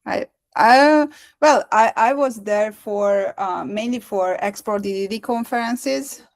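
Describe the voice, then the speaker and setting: A woman's voice: fairly high pitch, takes it slowly. A woman hosting an online meetup on a video call, talking in a hesitant way with many restarts and fillers. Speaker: meetup host. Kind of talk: casual chat on a video call.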